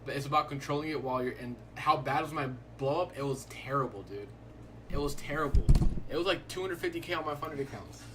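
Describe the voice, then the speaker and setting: A man's voice: on the high side, fast talker, background noise. A young man hosting a live stream, chatting casually in a laid-back, rambling way and filling his talk with "like". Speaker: livestreaming day trader.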